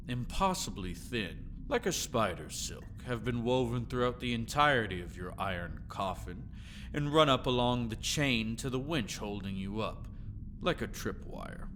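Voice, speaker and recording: A man's voice: medium pitch, background noise, natural voice. A man playing a sadistic, mocking jailer in an audio roleplay, drawling with sarcastic politeness and menace. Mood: cold menace. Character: sadistic, mocking male jailer of a captive vampire. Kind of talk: scripted ASMR audio drama monologue.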